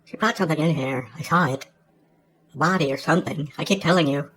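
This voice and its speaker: Guy doing a nosy agitated snitch, kinda high voice, pushy and insistent, radio play. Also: fast talker, fairly bright tone, natural voice. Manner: insistent, colloquial. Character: agitated, wild-eyed busybody informant insisting on accusations. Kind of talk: audio drama acting.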